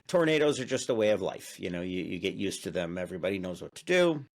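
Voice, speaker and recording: A man from Illinois hosting a casual interview, talking in a halting way with many fillers and restarts. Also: deep voice, very animated. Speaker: interview host.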